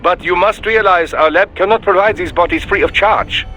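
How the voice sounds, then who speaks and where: An actor playing an erudite, polite, dryly pragmatic scientist in a video game, speaking formally and precisely. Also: male voice, high voice, moderate pace, bright, forceful tone, faint music behind, low-quality recording. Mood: dry, measured.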